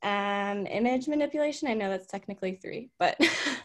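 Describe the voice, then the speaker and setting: A woman from California, a student, introducing herself in a quick, chatty way with fillers on a video call. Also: medium pitch. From California, USA.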